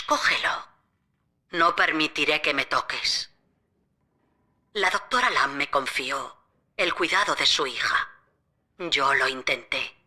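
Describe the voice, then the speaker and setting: Proud, sharp-voiced old woman. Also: low voice, husky, full character voice. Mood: bitter, defiant. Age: older. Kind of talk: video game voice acting.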